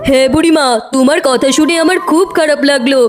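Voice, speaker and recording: Woman voicing a high-pitched earnest cartoon husband. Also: steady tone, fast talker, faint music behind, natural voice. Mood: earnest, pleading. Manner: earnest, reasoning, pleading. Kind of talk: dramatic cartoon voice acting.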